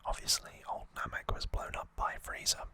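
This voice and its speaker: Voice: normal speed, pushed and bright. An ASMR host whispering an unscripted, slightly hesitant retelling, close to the microphone. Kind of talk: whispered ASMR trivia recap.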